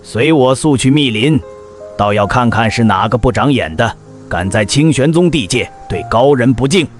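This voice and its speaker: An actor playing a stern old sect elder in an animated drama, speaking formally and imperiously. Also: male voice, medium pitch, moderate pace, close to an everyday voice. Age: older. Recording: music behind the voice.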